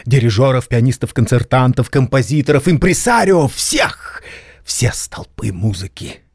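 An actor playing an excitable, sentimental elderly maestro pianist in an audio drama, theatrical and halting. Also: male voice, medium pitch, gravelly, husky, dry recording, studio quality. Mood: exalted, excited.

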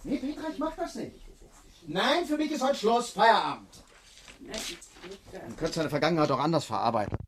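A man's voice: medium pitch, animated, moderate pace, slight background noise, close to an everyday voice. A man playing an irritable, blunt, colloquial voice-over reader in a naturalistic radio drama. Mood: angry, fed up.

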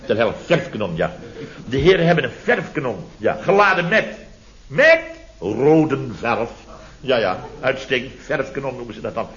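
An actor playing a pompous middle-aged building boss in a radio comedy, blustering indignantly and repeating himself. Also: male voice, medium pitch, sing-song, normal speed, a bit bright. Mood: sarcastic, boastful. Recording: dull, lo-fi old radio recording.

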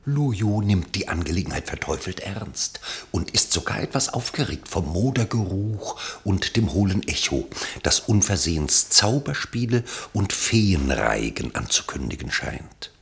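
Deep male narrator for a german radio play, literary n dramatic, very animated delivery. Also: measured pace, gravelly, few pauses.

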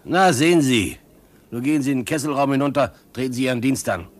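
Guy playing a shifty sea captain, middle pitched, curt and officious, radio drama. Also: expressive, fast, close to an everyday voice. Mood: coolly dismissive. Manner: curt, bureaucratic, brusque. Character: callous, shifty, impatient male ship's captain. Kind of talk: German radio play acting.

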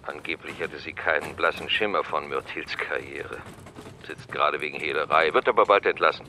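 A man's voice: rumbling low, moderate pace, sharp tone, slight background noise, full character voice. A man acting a matter-of-fact police commissioner in a German radio crime drama, speaking in clipped, unsentimental reports.

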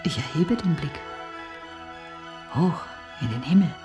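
Radio drama monologue: solemn visionary, breathy and hushed, slow, music clearly underneath. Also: male voice, on the high side, animated. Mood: hushed, brooding. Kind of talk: poetic radio play monologue. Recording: music behind the voice.

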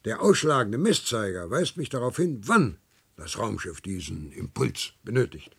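An actor playing a dutiful, stubborn 95-year-old worker in a radio play, husky and gravelly, prone to stammering. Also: male voice, fairly low pitch, very animated, moderate pace, a bit bright, heavily acted. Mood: earnest, assured. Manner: stammers and repeats words when agitated.

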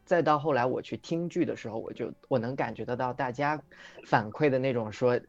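Male singer guest, casual livestream talk, reflective explanations, mid pitch, lo-fi sound with clear music behind. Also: fairly quick, no room sound. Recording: dull lo-fi audio, music behind. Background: background music.

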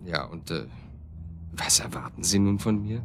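Guarded professional man, radio drama: formal, cool, evasive, lowish voice. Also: moderate pace, a bit bright, slight background noise. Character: guarded, formal male professional, addressed as doctor. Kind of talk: German radio drama acting.

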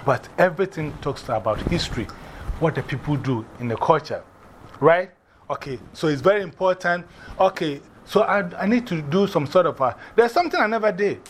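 A man from Ghana with a Ghanaian accent, teaching a class in a lively way, often repeating and spelling out words. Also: on the high side, brisk, a little hiss or hum.

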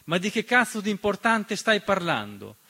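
A man's voice: sits high, sing-song, moderate pace, pushed and bright, breathy edge. A man performing a scripted theatrical monologue as narrator, declamatory and accusatory, full of rhetorical questions. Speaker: stage narrator and writer-performer.

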